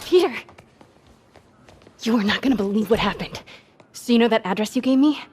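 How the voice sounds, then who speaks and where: A woman's voice: fairly high pitch, lively intonation, brisk, a little mellow, faint music behind, lightly acted. An actress playing an eager, insistent young woman in a naturalistic video game cutscene. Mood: excited, insistent. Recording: noisy background.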